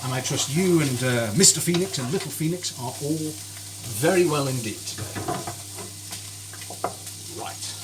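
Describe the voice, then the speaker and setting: A man's voice: on the high side, animated, a little slow, long pauses. A man chatting cheerfully and politely as he cooks on a live stream in his kitchen, greeting viewers by name. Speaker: cooking streamer. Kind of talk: live cooking stream chat. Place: home kitchen. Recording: kitchen noise with sizzling frying.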